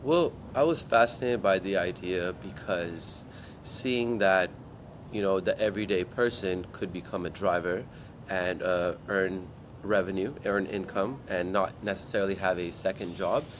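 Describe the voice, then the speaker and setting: A man from Guam, a startup founder, telling his story in an interview in a relaxed way and acting out his past thoughts. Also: low voice, a little slow, a little hiss or hum. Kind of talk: interview answers.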